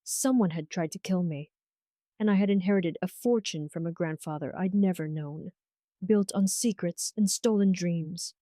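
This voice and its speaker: A woman's voice: on the low side, moderate pace, dark tone, no echo, clean studio sound, lightly acted. A woman voicing a tender, resolute young survivor in an audio romance story, speaking earnestly and with measure.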